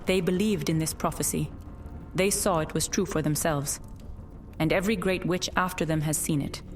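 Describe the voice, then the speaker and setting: A woman's voice: low-pitched, steady tone, normal speed, natural voice. A woman playing an ancient witch in a fantasy audio drama, speaking in an earnest, portentous and formal way. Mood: grave, accusing. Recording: music under the voice.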